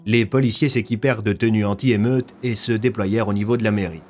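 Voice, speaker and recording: A man's voice: lowish voice, steady tone, a little fast, a little mellow, soft background music. A man narrating a scripted audio fiction as a storyteller.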